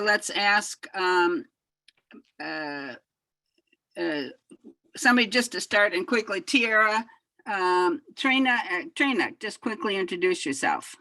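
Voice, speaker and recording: A woman's voice: lowish voice, sharp tone, dry recording. A woman facilitating an online workshop, calling on participants by name with short upbeat prompts. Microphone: video call.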